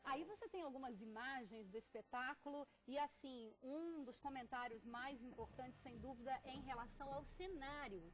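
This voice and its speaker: A woman's voice: sits high, moderate pace, hardly any pauses, poor audio. A Brazilian woman hosting a casual television interview as the interviewer.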